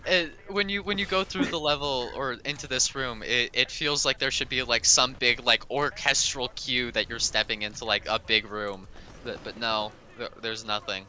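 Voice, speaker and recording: A man's voice: mid-range voice, fairly even intonation, normal speed, sharp tone. A man co-commentating a speedrun live, casual and wry, stammering into his sentences over a noisy mic.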